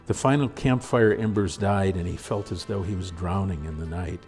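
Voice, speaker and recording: A man reading a story aloud as narrator in a measured, dramatic storytelling manner. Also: deep voice, darkish tone. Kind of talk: scripted audiobook narration.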